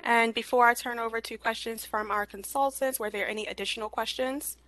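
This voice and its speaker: A woman moderating a formal online clinical session in a polite, deliberate way, speaking into a headset. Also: on the high side, fairly even intonation, fairly bright tone, no echo. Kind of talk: formal meeting facilitation. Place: indoor room on a video call.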